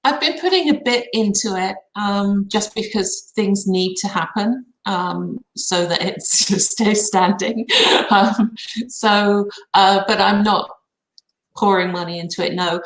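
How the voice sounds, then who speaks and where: A woman with a mid-pitched voice chats as a stream guest. She is conversational and a little hesitant. Her sound is clearly roomy and echoing. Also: takes it slowly. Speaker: guest on a live card reading stream. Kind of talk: casual chat.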